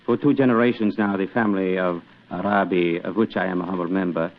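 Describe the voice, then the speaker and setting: A man's voice: low-pitched, fairly even intonation, natural voice. An actor playing a solemn Egyptian man devoted to his family's quest, speaking formally and deferentially in a 1940s radio mystery. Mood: solemn, fervent. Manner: formal, deferential, deliberate phrasing. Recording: tinny, narrow vintage radio recording.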